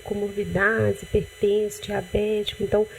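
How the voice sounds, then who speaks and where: A woman doctor with a Brazilian accent, calmly explaining health matters in a TV interview, often restarting her sentences. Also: mid-range voice, moderate pace, gravelly, slight background noise, rough recording. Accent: Brazilian Portuguese. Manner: calm, explanatory, with frequent restarts and "né". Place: TV studio.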